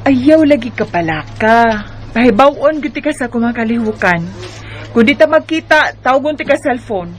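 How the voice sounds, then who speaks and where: Woman acting high, animated teasing young girlfriend. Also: normal speed, close to an everyday voice. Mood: playfully exasperated. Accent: Filipino, Bisaya. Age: young adult. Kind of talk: amateur radio drama acting.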